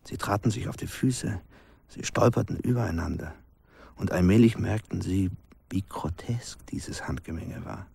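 An actor playing a grave village man who recounts a haunting past in a hushed, halting radio drama narration, his husky voice often sinking to a whisper. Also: sits low, dry recording, full character voice. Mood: grave, haunted. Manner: hushed, halting storytelling in short sentences.

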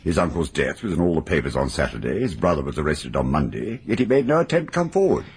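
An actor playing a brisk, authoritative senior police officer in a radio drama. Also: male voice, extremely low pitch, very animated, husky, full character voice. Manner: clipped, commanding.

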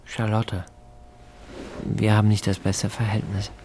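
A man's voice: fairly low pitch, flat delivery, a little slow, a little mellow, slight background noise, lightly acted. A man acting in a radio drama as a withdrawn, brooding hospital patient in his early forties, speaking with reserve. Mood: reserved, polite. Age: 40s.

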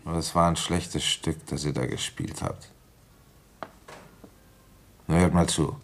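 A very low male voice with heavy creak: a weary, shrewd police inspector in a radio play. He broods aloud in slow, deliberate phrases, reflective and halting as he thinks. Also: a little hiss or hum, exaggerated voice. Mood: accusing, ironic. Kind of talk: German radio play acting, first-person narration and dialogue.

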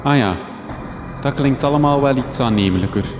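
A man's voice: mid-range voice, fairly quick, natural voice. A man playing a naive, indignant young reporter with a Flemish accent in a comic radio drama. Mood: baffled, indignant. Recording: echoing hall, music underneath.

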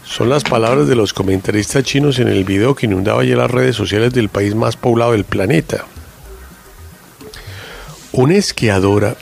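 A man's voice: mid-range voice, moderate pace, vocal fry, soft background music. A man hosting a radio show, chatting casually in a joking, teasing and opinionated way.

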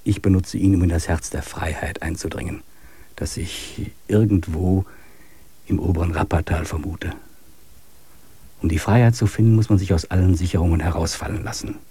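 A man's voice: low-pitched, steady tone, normal speed, dark tone, slightly rough, exaggerated voice. An actor playing a pensive male expedition member who speaks in a reflective, earnest way in a radio play.

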